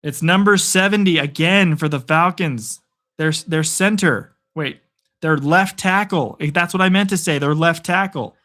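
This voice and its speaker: A young man doing casual live play-by-play over a stream, his voice a touch higher than most and bright, sharp in tone. He gets excited and chatty, and says his voice is giving out from all the yelling. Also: a little slow. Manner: excitable, chatty, answers viewer chat. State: losing his voice.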